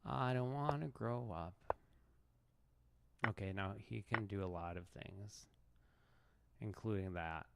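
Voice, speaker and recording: A man's voice: lowish voice, slow pace, vocal fry, pauses often. A man streaming chess with casual, self-mocking, rambling commentary, in a noisy stream recording. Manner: self-mocking, rambling, restarts mid-thought. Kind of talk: casual live commentary while playing.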